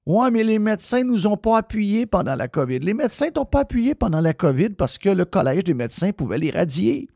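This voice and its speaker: A man's voice: high-pitched, big pitch swings, a little fast, gravelly, few pauses, a little mellow, no room sound, clean studio sound. A man with a Québécois French accent hosting a radio talk show, ranting bluntly and swearing freely. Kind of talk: opinionated radio rant.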